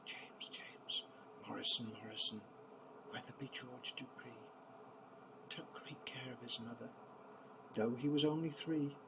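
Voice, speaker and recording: An older man, a grandfather, reading children's poems aloud in an expressive, theatrical bedtime style. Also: medium pitch, measured pace, long pauses, background noise.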